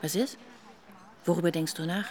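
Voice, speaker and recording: A woman's voice: lowish voice, fairly even intonation, moderate pace, a little mellow, close to an everyday voice. An actress playing a level-headed, teasing woman in a naturalistic radio drama, speaking in a wry conversational way. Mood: chatty, reflective. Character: pregnant woman, level-headed, teasing her grumbling partner.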